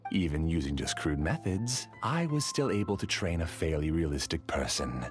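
Obsessive scholar, deep voice, lively intonation, formal, self-assured, anime video game. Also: male voice, normal speed, faint music behind. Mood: proud, fervent. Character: obsessive, courteous male scholar who owns a dream world.

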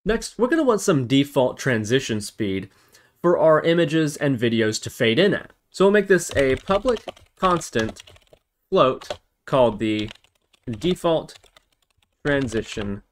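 A man hosting a software tutorial, explaining methodically, step by step. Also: medium pitch, very animated, takes it slowly. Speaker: tutorial host. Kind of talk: scripted-feeling coding tutorial narration. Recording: noisy, with keyboard typing and clicks. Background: computer keyboard typing and mouse clicks.

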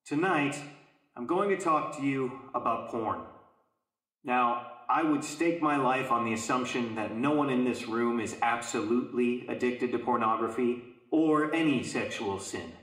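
An actor playing an earnest, firm youth pastor preaching to teenagers in an audio drama. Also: male voice, medium pitch, measured pace, fairly bright tone, close to an everyday voice. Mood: earnest, serious. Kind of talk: sermon, radio drama acting. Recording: echoey room.

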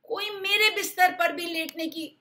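A woman voicing an upset storybook mamma bear, calling out shrill complaints. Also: fairly even intonation, measured pace, sharp tone, exaggerated voice.

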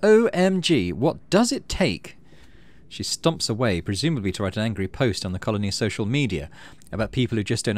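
A man narrating a game playthrough casually, reading text aloud and thinking out loud in an easygoing way. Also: fairly low pitch. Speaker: gaming video host.

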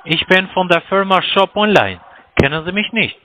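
A man's voice: high-pitched, animated, normal speed, bright, forceful tone. A man on a phone call, talking in a mocking, provocative way. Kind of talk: phone call, taunting chat. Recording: noisy call with clear background noise.

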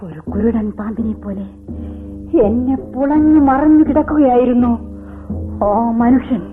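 A high-pitched, tormented woman convict played by an actress in a radio play. She declaims with accusing anguish, moving between hushed brooding and outcry. The recording is tinny and narrow, with music behind her. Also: a little slow, natural voice. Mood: bitter, brooding. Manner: dramatic, declamatory, swinging from hushed to crying out. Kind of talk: theatrical radio drama acting.

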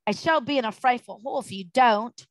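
A woman's voice: fairly high pitch, fairly quick, no echo, voice pushed up, lightly acted. A woman voicing a young boy in a storybook, anxious and easily indignant, with a pleading, exclamatory way of talking. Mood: grudging apology.

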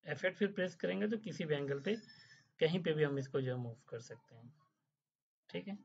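Male instructor, husky mid-pitched voice, patient step-by-step tutorial delivery, slightly dull lo-fi voice-over. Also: moderate pace. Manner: explains step by step, often checks in with "theek hai?"; mixes English phrases into Hindi. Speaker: drafting instructor. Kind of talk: screen-recorded software tutorial. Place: voice-over over screen capture.

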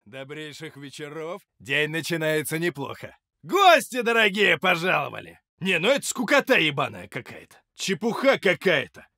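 An actor playing a cocky, foul-mouthed Russian gangster fighter in a video game, taunting with mocking street-slang swagger. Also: male voice, high voice, sharp tone, no room sound, clean studio sound, full character voice. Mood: muttering, sardonic. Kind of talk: video game combat voice lines.

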